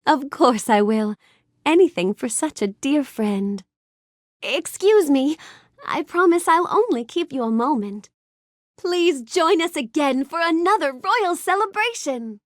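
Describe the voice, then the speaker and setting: A woman's voice: high voice, expressive, unhurried, no echo, studio quality. A woman voicing a gracious, bookish young storybook heroine in a video game, speaking politely and expressively with careful enunciation. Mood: affectionate. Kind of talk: scripted video game character lines.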